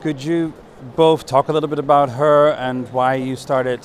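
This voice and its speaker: A man's voice: medium pitch, fairly even intonation, moderate pace. A man hosting a casual walking interview, asking questions and guiding the conversation in an informal way. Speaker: interviewer and tour host. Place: art fair hall, on a walking tour. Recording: faint fair-hall background noise.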